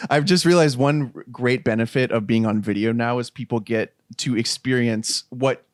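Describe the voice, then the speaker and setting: A man's voice: medium pitch, measured pace, dry recording, studio quality. A man co-hosting a casual movie review podcast, joking and swearing casually. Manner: lively, jokey, swears casually. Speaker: podcast co-host.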